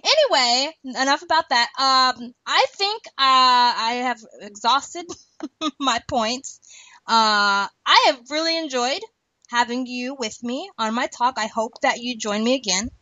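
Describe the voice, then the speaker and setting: Female podcast host, higher voice, chatty and giggly, talking casually on slightly muffled lo-fi audio. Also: unhurried, sharp tone, no echo.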